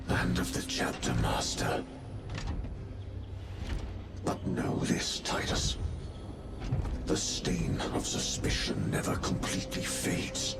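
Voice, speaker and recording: A suspicious, stern warrior priest from a game cinematic. His delivery is slow and menacing, sounding set back in an echoing space with music underneath. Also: very deep voice, gravelly, exaggerated voice. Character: stern, suspicious male warrior chaplain, grim and watchful. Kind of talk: video game cinematic voice acting. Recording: roomy, distant, loud cinematic music behind.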